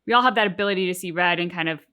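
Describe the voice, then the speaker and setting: A woman hosting a reaction show, discussing things casually and analytically with emphatic, paused phrasing. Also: on the low side, smooth, dull audio. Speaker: reaction channel host.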